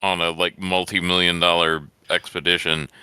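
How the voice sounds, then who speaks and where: A man's voice: low voice, level tone, moderate pace, sharp tone, clean tone, no echo. A man co-hosting a casual movie commentary podcast, joking and laughing often. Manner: laughs often, rambling jokes. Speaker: podcast co-host.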